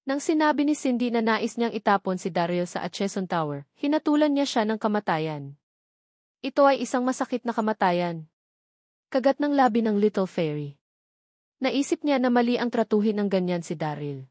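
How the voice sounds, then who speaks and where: A woman's voice: mid-range voice, animated, moderate pace, darkish tone, dry recording, clean studio sound. A woman reading a novel aloud as scripted audiobook narration.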